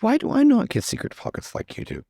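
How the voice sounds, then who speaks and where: A man's voice: very high-pitched, huge pitch swings, fast talker, creaky, warm tone, no room sound, studio quality, heavily acted. A man voicing a fussy, vain young aristocrat in tabletop roleplay, stammering, flustered and theatrically exasperated. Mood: whining.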